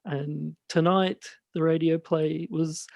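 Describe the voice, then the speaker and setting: Casting: male podcast host with a light, high-pitched voice, slow and halting chat, recorded dry. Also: fairly even intonation, vocal fry, a little mellow, no room sound, muffled recording. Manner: hesitant, halting delivery. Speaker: host and radio play director.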